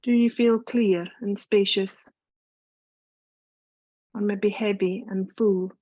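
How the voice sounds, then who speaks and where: A woman yoga teacher narrates a guided meditation. Her voice is mellow and relaxed at a medium pitch, with soothing, unhurried instructions. The recording is dry and a little dull. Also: dry recording.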